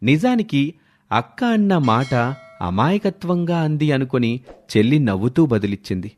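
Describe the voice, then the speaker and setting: Male narrator for moral tales: mid pitch, highly varied melody, scripted storytelling delivery. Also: very animated, normal speed, darkish tone, a little hiss or hum.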